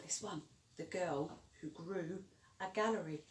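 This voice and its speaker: A woman's voice: lowish voice, unhurried, far from the mic, noisy background. A woman co-presenting a children's story session, chatting casually and encouragingly to young viewers. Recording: echoey room.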